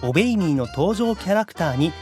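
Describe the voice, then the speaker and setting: A man's voice: sits high, expressive, fast talker, a little mellow, faint music behind. A man with a Kansai Japanese accent hosting a podcast with casual, playful banter, protesting in mock outrage and reading out listener messages. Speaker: podcast host and voice actor.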